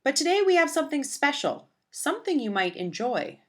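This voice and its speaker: A woman voicing a kindly, encouraging female librarian in a children's storybook, with a gentle, inviting manner. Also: high voice, very animated, a little fast, voice pushed up.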